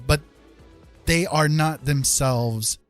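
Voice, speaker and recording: A man's voice: a little high, takes it slowly, soft background music. A man hosting a casual livestream, talking in an emphatic, opinionated, conversational way and often checking in with the listener. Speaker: livestream host.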